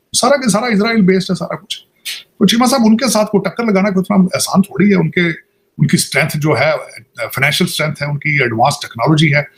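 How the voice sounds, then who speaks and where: A man giving conversational political commentary, halting at times and slipping into English. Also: a little high, a bit bright. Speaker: guest commentator.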